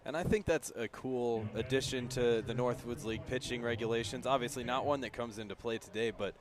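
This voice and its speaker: An American man giving conversational color commentary on a live baseball radio broadcast at a ballpark. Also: lowish voice. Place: outdoors at a ballpark.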